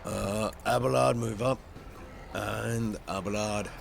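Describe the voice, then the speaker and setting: A man's voice: on the low side, steady tone, takes it slowly, gravelly, slight background noise. A man doing casual commentary as he plays a video game, muttering to himself. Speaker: gamer, playthrough host.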